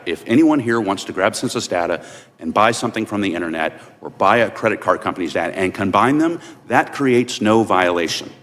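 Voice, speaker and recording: Man, low voice, formal testimony, emphatic deliberate points, reverberant hearing room. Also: a bit bright. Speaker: witness at congressional hearing. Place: large indoor hearing room.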